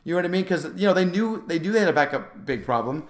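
Echoey room sound, guy with a lighter pitched voice chatting sports on a podcast, rephrasing as he goes. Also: expressive, fast. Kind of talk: casual basketball discussion.

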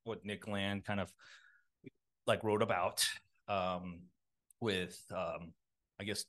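A man from a Los Angeles suburb, likely in his thirties, talking as a podcast guest in a halting, rambling way full of fillers and restarts. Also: low-pitched, fairly even intonation, fairly bright tone. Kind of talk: casual interview talk.

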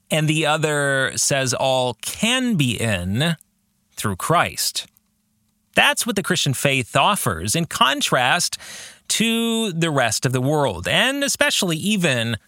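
A man with a fairly high, bright voice speaks slowly and deliberately as a podcast host. He reflects on a book in emphatic phrases, pausing and posing questions to the listener, with very animated intonation. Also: no room sound, studio quality.

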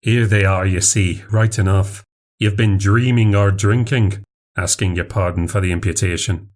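A man's voice: low voice, steady tone, normal speed, voice pushed down, natural voice. A man acts out a big, raw-boned Irish doctor from a storybook. He is brusque and scoffing, speaking with an Irish accent. His manner is bluff and commanding, full of hard common sense. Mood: dry, grim. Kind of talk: storybook character dialogue.

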